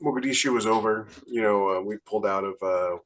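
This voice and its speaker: A man's voice: on the low side, fast, fairly bright tone, a little hiss or hum, low-quality recording. A middle-aged American man telling casual, rambling stories on a podcast, often searching for details. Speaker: podcast panelist and military veteran. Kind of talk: casual anecdotal storytelling.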